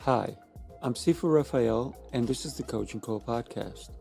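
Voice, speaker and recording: A man's voice: mid-range voice, normal speed, vocal fry, darkish tone, soft background music. A man hosting a podcast, interviewing conversationally and sometimes searching for his words. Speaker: podcast host.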